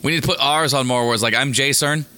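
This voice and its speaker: A hoarse man with a raspy voice, chatting casually as a podcast co-host, rambling and joking with many restarts. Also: mid-range voice, quick pace, sharp tone, slight background noise. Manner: rambling, jokey, restarts a lot. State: hoarse from singing. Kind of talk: casual chat.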